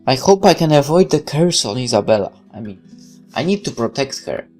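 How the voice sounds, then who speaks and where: A man records a video game playthrough. His pitch moves in a very lively way as he reads story text and chats in an expressive, casual manner. Faint guitar music plays underneath. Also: fairly quick. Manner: expressive, chatty. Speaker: gaming YouTuber. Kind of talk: let's play, reading game text aloud with casual commentary.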